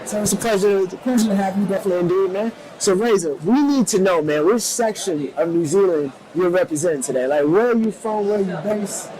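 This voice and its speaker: A man hosting a relaxed interview in a shisha lounge, talking in laid-back slang and peppering his lines with "man" and "definitely.". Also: sits high, fast talker, few pauses, crowd noise, rough recording. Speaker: host. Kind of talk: casual hip hop interview.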